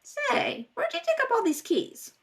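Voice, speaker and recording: A woman's voice: very high-pitched, very animated, measured pace, full character voice. A woman playing an anxious, inquisitive and affectionate wife in an amateur radio mystery read-through. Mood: curious, brisk. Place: at a kitchen table at home.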